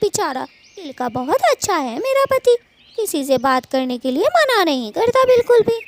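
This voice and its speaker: A woman acts out an indignant little bird wife in a cartoon tale. Her voice is extremely high and swings widely as she scolds and nags. Some noise sits behind her. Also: big pitch swings, moderate pace. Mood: offended. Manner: shrill scolding, nagging. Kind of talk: Hindi cartoon moral story dialogue.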